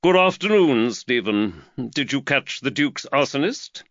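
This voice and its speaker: A man voicing an earnest middle-aged London magistrate who lectures with energy, higher and louder than his own voice. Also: a little slow, no echo, muffled recording. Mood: brisk. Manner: lively, preachy, warms to his theme.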